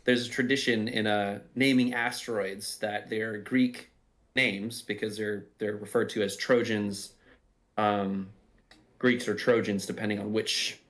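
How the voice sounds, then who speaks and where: A man's voice: fairly low pitch, fairly even intonation, a little slow, a bit bright. A man giving casual behind-the-scenes commentary, explaining things in a reflective, self-deprecating way. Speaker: audio drama writer and voice actor.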